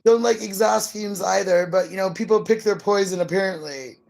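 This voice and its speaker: Woman, middle-aged, livestream co-host, casual chat, easygoing, musing, slightly halting. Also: mid-range voice, vocal fry, darkish tone. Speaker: live stream co-host.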